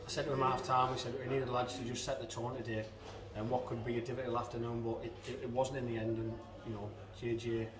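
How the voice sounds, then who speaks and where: A man's voice: medium pitch, little pitch movement, fast, few pauses, background noise. A man in his thirties, an assistant football manager, giving a post-match interview with many fillers and restarts. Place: indoors in front of a club sponsor backdrop. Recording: echoey room, mic at a distance.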